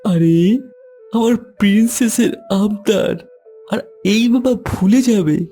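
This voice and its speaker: A man's voice: high voice, slow, dark tone, faint music behind. An actor playing an arrogant rich businessman in a cartoon, theatrical and haughty, dropping in English phrases. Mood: doting. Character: arrogant rich businessman, contemptuous, doting father. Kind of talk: cartoon voice acting.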